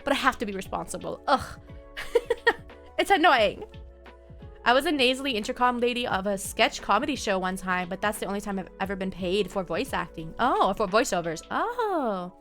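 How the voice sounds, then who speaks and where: Young female streamer, bright and clear voice, rambling chatty delivery with frequent laughter, light music underneath. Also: mid-range voice, sing-song, moderate pace. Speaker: game streamer. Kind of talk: casual chat while reading viewer comments.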